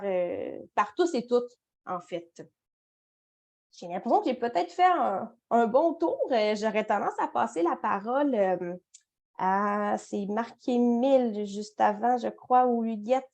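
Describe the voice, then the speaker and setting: Hesitant woman, fairly high voice. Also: a little mellow. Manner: hesitant, restarting phrases. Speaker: project organizer. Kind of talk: casual public talk.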